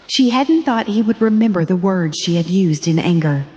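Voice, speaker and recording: A woman reads a scripted dramatic story as narrator. Her voice is warm and somewhat low. It carries a long reverb with quiet music behind it. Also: lively intonation, normal speed, highs cut off, a little hiss or hum.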